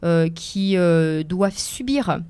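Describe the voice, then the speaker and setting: A woman in her forties whose first language is Italian speaks as a guest on a radio interview. Her voice is mellow and soft, recorded close and dry in the studio, and she talks with frequent hesitations, fillers and careful restarts. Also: lowish voice, lively intonation, slow, clear, resonant tone, dry recording. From France, Seine-et-Marne. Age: 40s. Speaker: lawyer and author guest.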